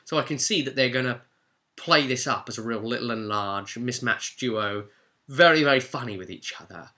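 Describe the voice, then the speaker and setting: Male game master, informal storytelling recap, animated and talkative, sharp bright tone. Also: mid-range voice, normal speed. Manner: chatty, acts out players' exchanges. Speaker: game master recapping a role-play session.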